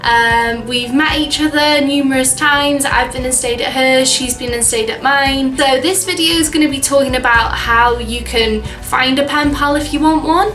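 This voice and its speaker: A woman's voice: high-pitched, moderate pace, hardly any pauses, fairly bright tone, echoey room, soft background music. A woman, chatting casually in a vlog with lots of fillers and "like"s. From Wales. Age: 20s. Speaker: vlogger. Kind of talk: casual chat.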